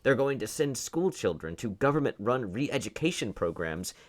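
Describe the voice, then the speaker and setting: A man's voice: mid-range voice, very animated, normal speed. A man giving opinionated video commentary in an argumentative, sarcastic way, often posing rhetorical questions. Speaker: online commentator.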